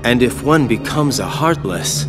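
A man plays a cool young villain in a video game. He has a medium-pitched voice and a sly, knowing delivery. Music plays clearly behind him. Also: lively intonation, unhurried, lightly acted. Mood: intrigued. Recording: loud background music.

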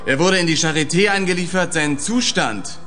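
An actor playing a businesslike police official in a German radio drama, speaking in a measured, formal, evasive manner. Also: male voice, fairly high pitch, fairly bright tone, faint music behind, lightly acted. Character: guarded, businesslike male police spokesman and senior official.

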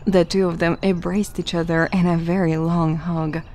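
A woman's voice: fairly low pitch, level tone, normal speed, a little mellow, a little hiss or hum. A woman giving scripted third-person narration for an audio drama.

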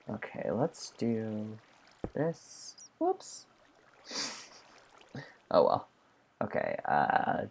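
Gravelly, excitable game commentator. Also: sits low, a little hiss or hum. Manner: chatty, excitable, talks to self and viewers. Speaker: gameplay commentator. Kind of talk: casual let's play commentary.

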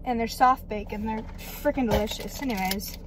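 A woman's voice: a little high, moderate pace, background noise. An eighteen-year-old woman vlogging casually from a parked car, chatty and self-mocking, swearing freely. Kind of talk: casual vlog chat. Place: parked car at night, indoors. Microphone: phone camera held or propped close.

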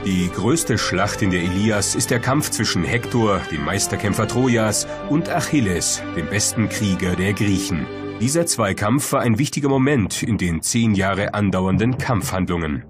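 A man with a fairly low voice delivers scripted documentary narration. Music plays clearly behind his voice-over throughout. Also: expressive, normal speed. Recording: voice-over over loud background music. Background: prominent music.

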